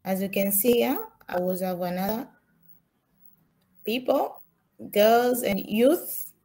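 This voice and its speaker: Slow, halting young Tanzanian woman presenting. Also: medium pitch, expressive, warm tone, rough recording. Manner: halting, many fillers and restarts. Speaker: student presenter. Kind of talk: slide presentation.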